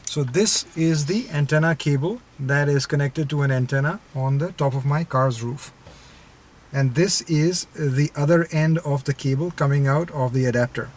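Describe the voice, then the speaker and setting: A man's voice: a little high, moderate pace, a little mellow. A man giving a casual product demonstration inside a car, explaining things step by step. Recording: clear car-interior background noise. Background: vehicle ambience.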